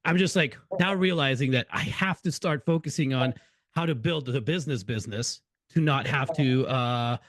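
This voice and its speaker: A middle-aged man who grew up in Hungary talks loosely as a podcast host and restarts his sentences often. His voice sits at an ordinary pitch for a man. The sound is close and dry, with little room around it. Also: normal speed, a bit bright, no room sound. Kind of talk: casual interview chat.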